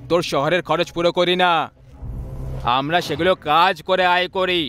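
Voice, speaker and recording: পুরুষের অভিনয়ে লোভী, হুমকিবাজ গ্রাম্য গুন্ডা. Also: male voice, high-pitched, steady tone, normal speed, bright, forceful tone, slight background noise. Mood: menacing. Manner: threatening, crude. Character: greedy, bullying village land-grabber thug. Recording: music behind the voice.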